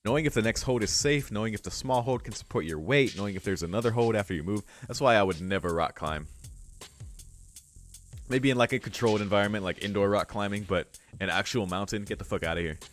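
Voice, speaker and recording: A man's voice: on the low side, fast talker, slight background noise. A man hosting a let's play, reading a visual novel aloud with casual, sweary asides. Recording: music behind the voice. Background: game music.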